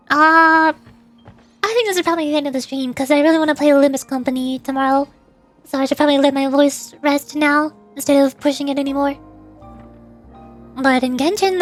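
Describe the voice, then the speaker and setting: A woman game streamer chatting to herself and reading on-screen text aloud as she plays. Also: high voice. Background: game music.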